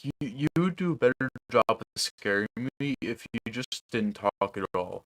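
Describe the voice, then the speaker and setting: A man's voice: medium pitch, animated, moderate pace, a bit bright, no room sound, rough recording. A young man producing music on a livestream, chatting loosely with his viewers in a rambling, casual way. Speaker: music producer streaming live.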